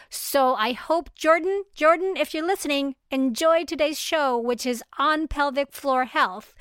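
A woman's voice: high voice, a bit bright, dry recording, studio quality. A woman from Venezuela hosting a podcast interview, bubbly and chatty, sometimes tripping over her words. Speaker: podcast host. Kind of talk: casual interview.

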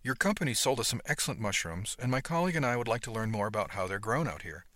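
A man's voice: mid-range voice, lively intonation, fast talker, a bit bright, no echo, studio quality, lightly acted. A man voicing a courteous, earnest young male galley worker from a freighter in a story. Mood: polite, eager.

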